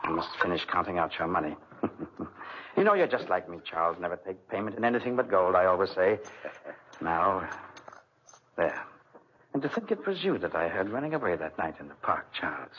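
Theatrical scheming villain, widely swooping delivery. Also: male voice, sits low, sing-song, moderate pace, pauses often. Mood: sly. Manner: oily, theatrical, mock-genial. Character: scheming, gloating villain, master of a dungeon. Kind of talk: 1940s radio drama acting. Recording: muffled, noisy 1940s radio recording.

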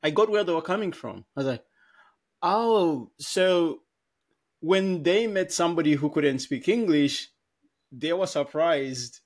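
A man's voice: fairly high pitch, animated, a little slow. Rambling, laughing man on a headset call. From Africa. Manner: rambling, self-correcting, laughs often at himself. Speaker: podcast co-host. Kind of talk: casual conversational storytelling. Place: small room at home. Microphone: over-ear headset on a video call.

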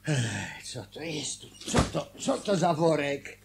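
An actor in a radio drama playing a weary, bitter middle-aged husband, husky and volatile. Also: male voice, a little high, very animated, slow, slight background noise, exaggerated voice. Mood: resigned. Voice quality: husky, breathy. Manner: emotional, volatile, dragging. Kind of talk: Polish radio drama acting.

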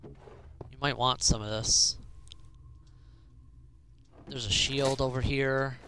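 A man's voice: medium pitch, steady tone, slow, sharp tone, a little hiss or hum. A man bantering casually and teasingly as he plays a roleplay game, with a sarcastic edge. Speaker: gamer in a roleplay series. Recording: game music behind the voice. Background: game music.

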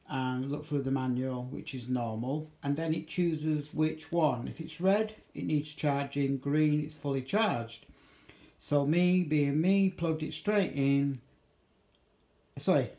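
A man's voice: a little high, dark tone. A man giving a casual, unscripted demonstration in a hesitant, rambling way, correcting himself as he goes, recorded on a handheld phone in a home kitchen. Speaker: amateur radio hobbyist vlogger. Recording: echoey room, handheld camera.

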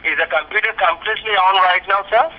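Male call center agent, high voice, insistent scripted delivery, dull speakerphone sound. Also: quick pace. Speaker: call center caller posing as tech support. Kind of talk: scripted phone sales-style instructions. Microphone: phone speaker recorded by a nearby camera.

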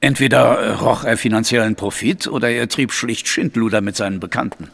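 An actor playing a sceptical, grumbling police inspector in an audio drama, with a gravelly, husky voice and a blunt, doubting manner. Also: male voice, mid-range voice, steady tone, fairly quick, a bit bright. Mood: dismissive.

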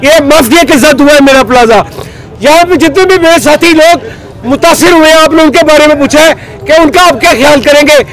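Agitated middle-aged man, high strained voice. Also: brisk, a bit bright, a faint crowd, low-quality recording. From Pakistan. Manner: agitated, pleading, gesturing hard. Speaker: market trader leader. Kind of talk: street interview. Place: outdoors on a busy city street. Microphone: handheld reporter mic.

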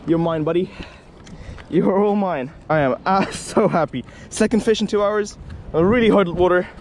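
A young man in his twenties, vlogging outdoors by a lake while fishing, talking excitedly and repeating himself as he reels in carp. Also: high voice, slight background noise. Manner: excitable, repeats himself, fast exclamations while reeling in fish. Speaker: angler vlogger.

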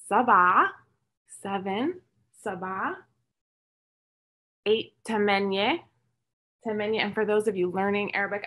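A middle-aged woman hosting a children's Arabic storytime from home over a webcam, cheerful and encouraging, slowly repeating words for the kids. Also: mid-range voice, pushed and bright. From born and raised in English-speaking countries, Palestinian roots. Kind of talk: teaching numbers to young children over a video stream. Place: home room with shelves of toys and books. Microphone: webcam at close range, video call.

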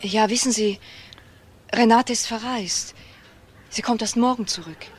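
An actress playing a bereaved, guarded young fiancée and protective daughter in a crime radio drama. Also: female voice, on the high side, a little slow, a little hiss or hum, natural voice. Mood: subdued, guarded. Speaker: actor.

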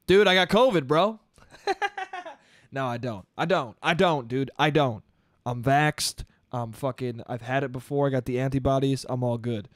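A man's voice: mid-range voice, moderate pace, no echo, studio quality. Profane, rambling young male podcaster. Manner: loose, profane, rambling, laughs at own jokes. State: congested. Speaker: podcast host. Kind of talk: casual solo podcast monologue. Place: small bedroom studio. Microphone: boom-arm studio mic up close.

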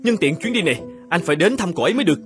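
An earnest, troubled young painter, animated and high-pitched. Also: male voice, racing, faint music behind. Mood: inspired. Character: troubled, earnest male Japanese painter, devoted husband. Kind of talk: animated fairy-tale dubbing.

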